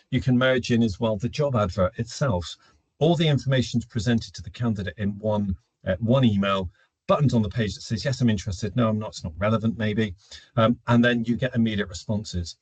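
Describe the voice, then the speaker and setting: A man's voice: lowish voice, darkish tone, breathy edge, no echo, poor audio. A man giving an informal business webinar presentation, explaining in a conversational, opinionated way and answering his own questions. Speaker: webinar presenter, recruitment automation consultant.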